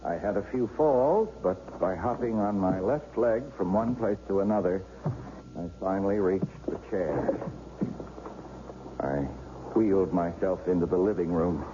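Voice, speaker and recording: A man's voice: low-pitched, measured pace, background noise. An actor playing a bitter, sardonic paralyzed artist who narrates his own story in a 1970s radio drama. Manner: sardonic, self-pitying. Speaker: narrator and lead. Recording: dull lo-fi old radio broadcast with loud music.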